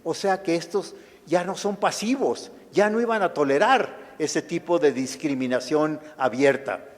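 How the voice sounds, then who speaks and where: A man's voice: a little high, a little slow. Older Chicano man lecturing in a hall. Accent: Chicano Spanish. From United States. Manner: thoughtful, with frequent restarts and fillers. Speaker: guest lecturer, historian. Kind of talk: lecture answering audience questions. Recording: lecture hall with long reverb.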